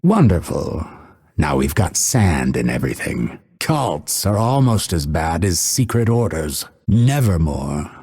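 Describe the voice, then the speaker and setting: Game assassin: low, expressive delivery, aloof and scholarly, haughty formal phrasing, husky edge. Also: male voice, exaggerated voice. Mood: aloof, wry. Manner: measured, formal, haughty, dryly witty. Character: proud, scholarly male assassin, aloof and coolly menacing. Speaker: voice actor. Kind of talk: video game character voice lines.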